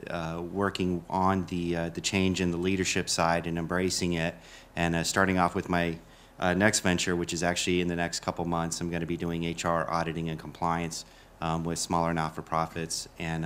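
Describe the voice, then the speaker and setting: A man's voice: sits low, completely flat delivery, fairly bright tone, a little hiss or hum. A man speaking as an alumni panelist, describing his career with frequent fillers and restarts. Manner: halting, many fillers and restarts. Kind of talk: panel introduction. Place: university event room.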